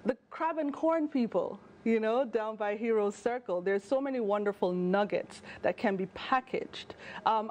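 A woman in her thirties with a Jamaican accent, a travel journalist talking as a guest in a TV studio interview, animated and chatty with fillers and restarts. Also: a little high. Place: TV news studio. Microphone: lapel mic.

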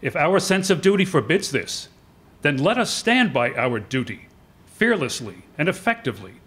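A man's voice: a little high, big pitch swings, normal speed, fairly bright tone, breathy edge, full character voice. An older man playing a principled, resolute statesman delivering a political oration in a staged radio-play reading. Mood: earnest, resolute. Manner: rhetorical, oratorical. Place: indoor hall with seated audience. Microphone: lectern microphone.

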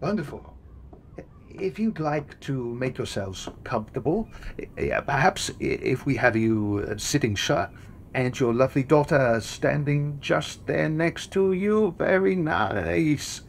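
An actor playing a courteous old-time portrait photographer who talks politely and hesitantly, with a gravelly voice. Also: male voice, on the high side, animated, a little hiss or hum. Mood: coaxing. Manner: courteous and slow, with hesitant fillers. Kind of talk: audio drama acting.

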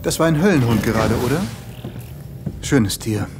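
A courteous, mysterious male flatterer played by an actor in a comic sci-fi audio play. He talks suavely and presumptuously in a medium-pitched voice over clearly audible music. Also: normal speed, lightly acted. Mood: charming, flattering. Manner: smooth-talking, courteous, presumptuous. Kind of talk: comedic sci-fi audio drama acting.

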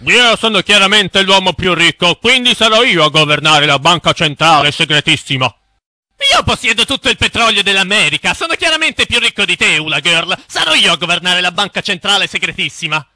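A man's voice: high voice, expressive, a little fast, sharp tone, no room sound, full character voice. A man voicing boastful, greedy rich bankers in a cartoon, in a pompous manner. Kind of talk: exaggerated cartoon acting.

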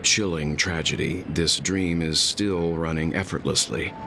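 Grave, extremely deep-voiced gentleman. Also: steady tone, slight background noise, exaggerated voice. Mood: grave, thoughtful. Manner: measured, formal. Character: grave, thoughtful middle-aged gentleman mentor. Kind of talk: video game cutscene acting.

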